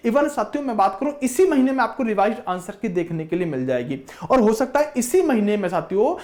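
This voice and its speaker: A man's voice: sits high, very animated, fast, darkish tone. A man speaking as an exam coaching teacher, explaining informally and emphatically, with repetition and frequent direct address to students.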